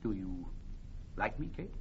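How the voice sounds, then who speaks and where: A man's voice: on the low side, sing-song, unhurried, a little mellow. An actor playing a bold, plain-spoken English warrior king who woos ardently, in classic Shakespearean radio drama style. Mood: ardent wooing. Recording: muffled old radio recording, faint noise.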